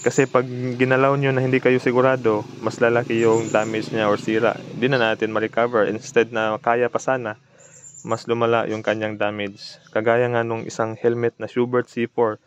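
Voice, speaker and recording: A man's voice: mid-range voice, flat delivery, moderate pace, slight background noise. A man narrating a casual repair vlog in a plainspoken way, mixing in English terms. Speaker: electronics repair technician and video host.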